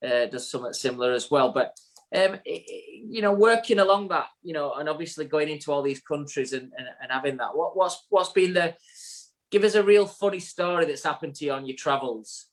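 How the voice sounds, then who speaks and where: A man's voice: on the high side, fairly quick. A man from England with a Yorkshire accent, co-hosting a podcast and asking chatty questions. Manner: chatty, friendly questioning with repeated words. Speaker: podcast co-host. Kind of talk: casual podcast interview.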